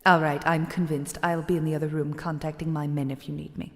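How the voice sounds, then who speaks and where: A woman's voice: low-pitched, level tone, a little fast. A woman playing a vain, haughty female shadowling merchant in a video game, theatrical, self-absorbed and condescending. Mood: grudging, coy. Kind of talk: dramatic video game voice acting. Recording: long reverb on the voice.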